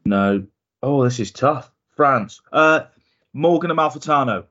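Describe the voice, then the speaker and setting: A man's voice: medium pitch, expressive, a little slow, a bit bright. A man hosting a casual football podcast, upbeat and competitive, thinking aloud while he guesses. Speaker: podcast host. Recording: dull lo-fi podcast audio.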